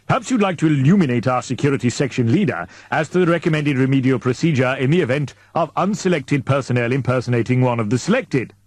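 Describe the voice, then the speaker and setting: A man voicing a fatherly, authoritative male expedition leader in an audiobook, booming and pompous, with wordy formal speech. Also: lively intonation, quick pace, hardly any pauses, darkish tone, no room sound, natural voice. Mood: pointed, superior. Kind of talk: audiobook character dialogue.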